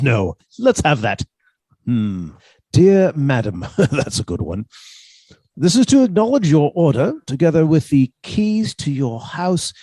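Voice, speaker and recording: A man's voice: a little high, dark tone, no echo. A man playing a mannerly, henpecked professor who is secretly a scheming killer, with formal old-fashioned phrasing, in a radio suspense play. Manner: formal, old-fashioned turns of phrase, hesitant when flustered.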